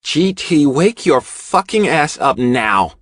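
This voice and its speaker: A man's voice: a little high, very animated, takes it slowly, a bit bright, dry recording, clean studio sound, voice pushed up, full character voice. A man voicing a brash, angry young woman with a barking, scolding manner in an audiobook. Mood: barking, bossy. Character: brash, angry young woman, foul-mouthed biker sister. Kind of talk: audiobook character acting.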